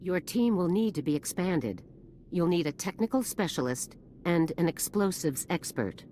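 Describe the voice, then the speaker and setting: An actress playing a stern, authoritative army general in a video game, formal and commanding, over background ambience. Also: female voice, fairly low pitch, expressive, moderate pace, dark tone, a little hiss or hum, natural voice. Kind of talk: video game dialogue acting.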